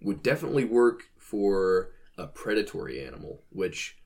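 A man's voice: low-pitched, big pitch swings, moderate pace, gravelly, darkish tone. A man co-hosting a podcast, explaining his ideas in casual chat with playful, dry humor. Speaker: podcast co-host.

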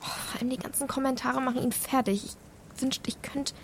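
A woman's voice: high-pitched, steady tone, fairly quick. Young woman, audio drama: caring, emotional, intimate casual delivery, light ambient noise behind. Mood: vulnerable, guilty. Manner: confiding, colloquial.

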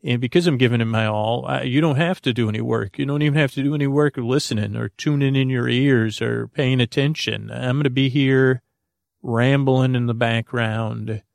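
A man with a heavily creaky, gravelly voice talks as the host of a bedtime podcast. He rambles and halts, goofily drifting off on tangents as he improvises. The sound is dry and close, at a middling male pitch. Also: fairly even intonation, dry recording. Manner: meandering, halting, goofy, trails into tangents.

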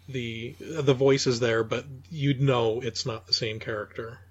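A man's voice: mid-range voice, steady tone, measured pace, breathy edge. Agreeable man on a dull lo-fi recording. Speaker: podcast co-host. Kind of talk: casual chat. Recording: dull, lo-fi audio.

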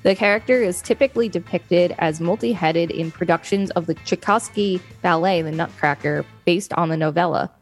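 A woman's voice: on the low side, normal speed, few pauses, soft background music. A woman co-hosting a podcast, reading an encyclopedia article aloud. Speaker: podcast co-host.

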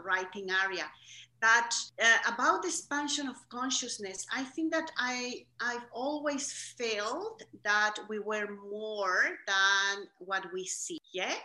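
Lady, soft and thoughtful, lots of ums and restarts, bright voice, roomy echo. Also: mid-range voice. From Chile. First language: Spanish. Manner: soft-spoken, reflective, halting with fillers and restarts. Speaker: guest. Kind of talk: podcast interview. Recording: echoey room.

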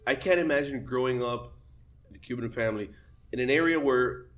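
Give me a relaxed man from Miami co-hosting a casual conversation, rambling through his questions. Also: medium pitch, a little fast. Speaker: co-host. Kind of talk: casual chat.

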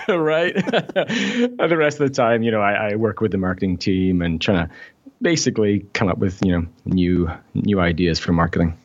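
Chatty guy, mixed brit-canadian accent, lowish voice, kinda dull lo-fi line. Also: moderate pace. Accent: mixed British-Canadian. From Scotland. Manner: chatty, laughs often, many fillers. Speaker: guest. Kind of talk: podcast interview.

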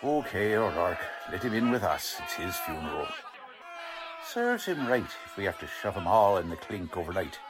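An actor playing a gruff, bossy American police lieutenant in a radio crime drama, blunt and slangy, hemming and hawing. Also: male voice, low-pitched, big pitch swings, normal speed, very noisy. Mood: curt, commanding. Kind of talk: old-time radio crime drama acting.

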